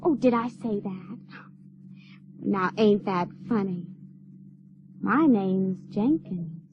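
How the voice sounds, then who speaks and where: A woman's voice: medium pitch, takes it slowly, lightly acted. An actress playing an artless, stubborn sixteen-year-old runaway girl in an audio drama, with a rustic, drawling Ozarks accent and plain-spoken manner. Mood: wistful, coy. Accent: Ozarks rural American. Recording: dull lo-fi radio recording, faint noise.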